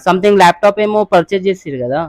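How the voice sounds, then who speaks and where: Young male prank-call host. Quick, high-voiced, casual, code-mixes English, dry close sound. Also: dry recording. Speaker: prank caller and video host. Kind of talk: casual prank phone chat.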